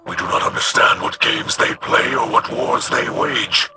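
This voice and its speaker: A man's voice: unhurried, close to an everyday voice. A man playing a solemn, stoic alien warrior leader in a video game, speaking in formal, reverent, weighty phrases. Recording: music behind the voice.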